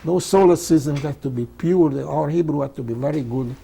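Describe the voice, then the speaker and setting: An elderly man, a native Hungarian speaker with a Hungarian accent, telling his life story in an emphatic, halting way, often repeating phrases. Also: on the high side, vocal fry, warm tone, slightly rough. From Czechoslovakia, Hungarian-speaking. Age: 80s or older. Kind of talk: oral history interview, personal storytelling.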